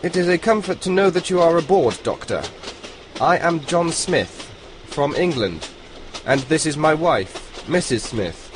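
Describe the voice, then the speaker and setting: A man's voice: on the high side, animated, unhurried. An actor playing an arrogant German spy posing as an Englishman, with a German accent and a pompous, stiffly formal manner. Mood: haughty outrage. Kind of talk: audio comedy drama acting. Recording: train ambience and noise behind.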